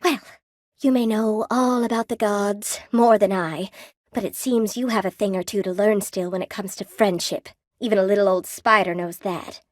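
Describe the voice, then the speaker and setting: A woman's voice: medium pitch, steady tone, moderate pace, no echo, clean studio sound, lightly acted. An actress voicing a friendly, wry spider weaver woman in a video game, chatty and coy, with a folksy, lightly theatrical delivery. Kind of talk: video game character dialogue. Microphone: close dry studio microphone.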